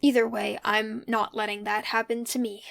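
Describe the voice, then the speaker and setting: A wry young woman thinking aloud in an audio drama. Her voice sits fairly high for casual talk and has a noticeable creak. She muses conversationally, like someone recording private reflections. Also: flat delivery, normal speed, a bit bright. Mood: weary, resolved. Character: wry, pensive young person alone in an isolated house.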